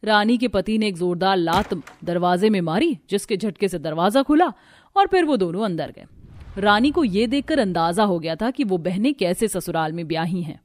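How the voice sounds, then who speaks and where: Woman narrator with a mid-pitched voice. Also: expressive, moderate pace, no room sound. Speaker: storyteller. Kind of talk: scripted narration of Hindi cartoon family story.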